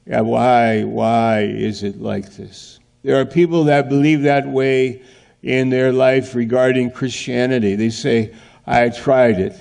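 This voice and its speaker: Slow, gravelly male preacher. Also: medium pitch, flat delivery, darkish tone. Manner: earnest, asks rhetorical questions, acts out scenes. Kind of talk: sermon.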